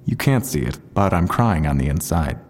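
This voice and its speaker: An actor playing a guarded, surly homicide detective, answering in a terse, deadpan way in an audio drama. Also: male voice, extremely low pitch, moderate pace, in a large hall, heavily acted. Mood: deadpan defiance. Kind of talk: full-cast audio drama interrogation.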